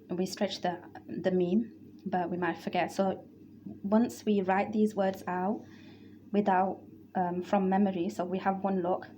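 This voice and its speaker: A woman's voice: medium pitch, warm tone, slight background noise. A woman teaching Arabic grammar, explaining patiently and repeating word lists.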